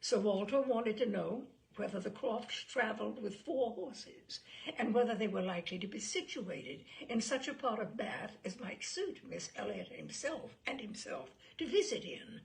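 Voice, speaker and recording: A woman narrating a classic novel as an audiobook, in an expressive literary reading style. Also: medium pitch, normal speed, gravelly, rough recording.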